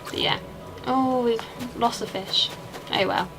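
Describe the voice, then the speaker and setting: A woman's voice: a little high, slow, creaky, over music, low-quality recording. A young woman with an English accent, chatting casually and rambling while she plays a game and answers her chat. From England. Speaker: streamer.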